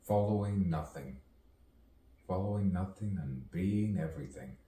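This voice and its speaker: Very deep, slow man delivering a poetic soliloquy. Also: dark tone. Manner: dramatic, musing, drawn out with long pauses. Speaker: poet performing own monologue. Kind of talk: theatrical poetic soliloquy with wordplay and rhyme. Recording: roomy, distant mic, faint background noise.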